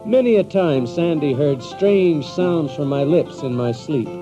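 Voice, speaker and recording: Boastful Yankee hero-narrator, high-set theatrical voice, lively audiobook drama delivery, lo-fi sound. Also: male voice, a little slow. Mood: reflective, pleased. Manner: theatrical, animated, with chuckles. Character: boastful, excitable Yankee adventurer telling his tale. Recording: dull lo-fi audio with loud music.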